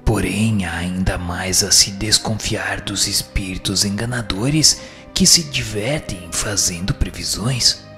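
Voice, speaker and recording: A man's voice: fairly low pitch, pushed and bright. A man with a Brazilian accent playing a wise, firm spirit who gives formal, didactic answers in a scripted radio drama. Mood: firm, cautioning. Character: instructive male spirit, wise, firm and solemn. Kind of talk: scripted dialogue, formal reading. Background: music.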